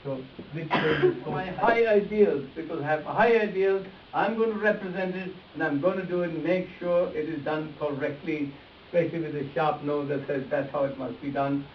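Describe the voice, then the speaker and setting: A man with a slightly high voice leads a live hands-on demonstration, speaking directly to volunteers and repeating his points. He is picked up from a distance in an echoey room, with a dull, faintly hissy sound. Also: normal speed. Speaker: face reading teacher.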